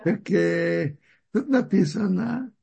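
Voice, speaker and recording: A man giving a religious lesson on scripture, explaining slowly and often stopping to restart his sentences as he searches for words. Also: high-pitched, creaky, dark tone, slightly rough. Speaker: Torah teacher.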